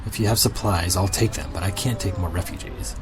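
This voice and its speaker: Audio drama doctor, played by a man: deep-voiced, firm, tired, bound by the rules, faint noise behind. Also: a little fast, lightly acted. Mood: firm, weary. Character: weary, rule-bound male army-camp doctor, firm.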